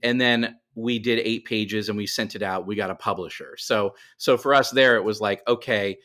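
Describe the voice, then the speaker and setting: Low-voiced man talking casually as a guest. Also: fairly even intonation, normal speed, sharp tone. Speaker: guest, comics writer. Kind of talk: casual podcast interview talk.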